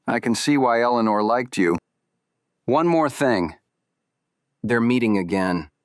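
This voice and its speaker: An actor playing a guarded, weary man in a noir audio drama, speaking in terse, measured, ominous lines. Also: mid-range voice, animated, no room sound, studio quality, lightly acted. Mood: ominous warning. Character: guarded, weary man warning two investigators.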